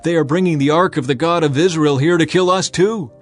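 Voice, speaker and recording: Panicked ancient townspeople voiced by a male narrator plead urgently in a scripture drama. The voice is raised in pitch, with faint music behind it. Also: fairly quick, close to an everyday voice.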